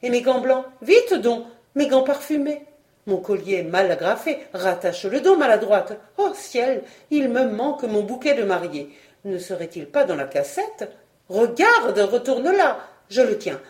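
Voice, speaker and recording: A woman's voice: fairly high pitch, a little mellow, echoey room. A woman voicing a vain, imperious frog fairy queen in a storybook reading, with theatrical, bossy exclamations and a cruel temper.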